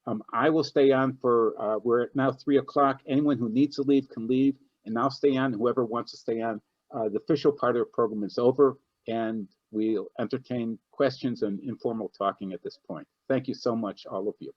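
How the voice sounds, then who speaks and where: A man's voice: medium pitch, fairly even intonation, normal speed, no echo. A man hosting an online event, speaking casually with many ums and restarts. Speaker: host of a video-call event. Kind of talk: casual closing remarks and thanks. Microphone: video call. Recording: dull, lo-fi video-call audio.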